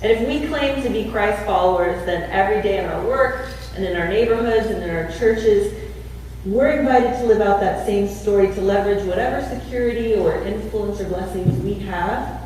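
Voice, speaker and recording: A woman preaching a sermon in a church, telling a story and slowing down to stress key words. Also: medium pitch, darkish tone, a little hiss or hum. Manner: storytelling with slowed emphasis. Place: church sanctuary. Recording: echoey church hall from far off.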